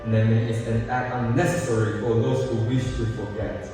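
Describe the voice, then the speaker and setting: A man's voice: mid-range voice, little pitch movement, moderate pace, music in the background, natural voice. A man playing a cold, stern royal husband in a declaimed stage reading, recorded in an echoey hall from a distance. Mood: cold, resolute. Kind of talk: reader's theatre declamation.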